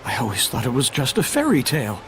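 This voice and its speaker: A man's voice: medium pitch, huge pitch swings, fast talker, a bit bright, faint music behind. A man voicing a sceptical soldier of the guard in a video game, blunt and teasing. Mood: wry, amazed. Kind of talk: English game voice acting.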